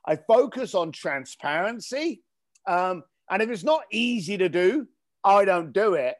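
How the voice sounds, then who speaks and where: A man's voice: sits high, lively intonation, unhurried. A man interviewed as a guest, telling an anecdote with quoted exchanges and repeated words for emphasis. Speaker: guest, author and business owner. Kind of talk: casual interview.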